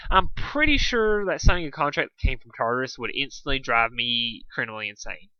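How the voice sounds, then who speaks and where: A brash young pegasus mare from a cartoon fan story, acted out by a male reader. She is sarcastic and casual, pushing her words hard in a bright voice. The recording is slightly dull and very dry. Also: mid-range voice, animated, fairly quick, clean tone, no room sound. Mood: dismissive. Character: brash, cocky young female pegasus pony.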